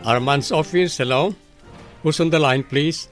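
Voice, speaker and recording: High-pitched, anxious, bossy boss played by an actor. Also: male voice, unhurried, faint music behind, close to an everyday voice. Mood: businesslike. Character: anxious, bossy expectant father, master of the house. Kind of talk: radio drama acting.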